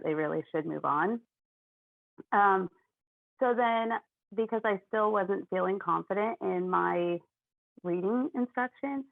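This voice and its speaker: A young American woman, a first grade teacher, speaking earnestly and chattily on a video call, with an ear infection that makes her talk loudly. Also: fairly low pitch, creaky, no room sound. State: has an ear infection, may sound loud. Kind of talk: online panel talk. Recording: dry, phone-like video call audio.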